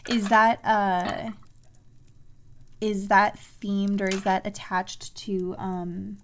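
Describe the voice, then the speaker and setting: A woman giving casual live game commentary, musing and halting as she thinks aloud and corrects herself. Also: medium pitch, flat delivery. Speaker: game streamer playing a puzzle adventure.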